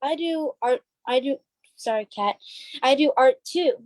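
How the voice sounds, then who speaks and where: A woman's voice: high-pitched, unhurried, a little mellow, no echo. A woman who performs on stage, chatting casually as an interview guest. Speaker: stage play cast member being interviewed. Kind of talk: casual chat.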